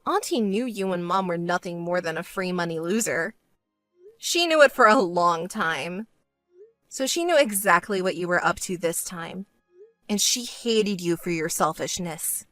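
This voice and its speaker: A woman's voice: fairly low pitch, animated, unhurried, fairly bright tone, no echo, soft background music. A woman voicing a stern, fed-up sister with a grudge in a dramatized story, cold, firm and scolding. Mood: cold dismissal.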